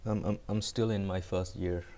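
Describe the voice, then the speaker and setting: Young guy from cambodia chatting with stream chat, deep gravelly voice, says stuff twice, feeling cold. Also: flat delivery, moderate pace, mellow. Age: young adult. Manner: rambling, repeats words, chats with chat. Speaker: live coding streamer. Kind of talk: casual chat with viewers.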